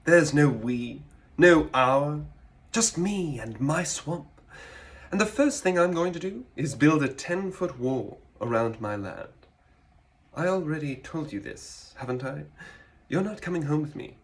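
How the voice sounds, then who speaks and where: A grumpy loner ogre, played by a man, speaking low and quiet for a comedy reel. The delivery is brooding and deliberate, with heavy pauses and contempt for company. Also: lively intonation. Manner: slow, brooding, pausing between words. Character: grumpy, solitary ogre who wants everyone gone. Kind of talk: dramatic comic reading in an impression.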